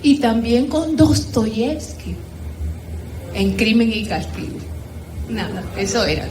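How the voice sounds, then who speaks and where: A woman's voice: a little high, animated, slow, a crowd in the background. A woman with a Dominican accent speaking informally and conversationally as a participant at a book gathering. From Dominican Republic. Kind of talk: informal spoken commentary at a literary gathering. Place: echoey room at a live group gathering. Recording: roomy echo, faint background noise.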